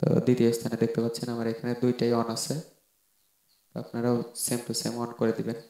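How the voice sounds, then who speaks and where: A man's voice: mid-range voice, monotone, a little fast, vocal fry, dark tone. A man narrating an informal how-to tutorial, explaining step by step and often repeating himself. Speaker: tech tutorial host. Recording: roomy echoing voice-over.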